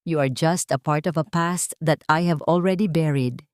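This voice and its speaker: A woman voicing a composed young woman who speaks calmly and firmly, with no trace of anger. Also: deep voice, no echo, studio quality, close to an everyday voice. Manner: calm, firm, measured.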